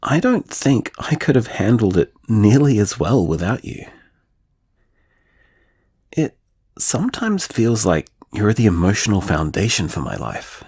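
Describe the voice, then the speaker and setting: A man's voice: fairly low pitch, very animated, unhurried, a little mellow, breathy edge, no room sound, clean studio sound, heavily acted. An actor playing a tender, anxious man confiding in his beloved in an audio drama, earnest and reflective. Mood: tender, loving. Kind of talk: intimate audio drama monologue.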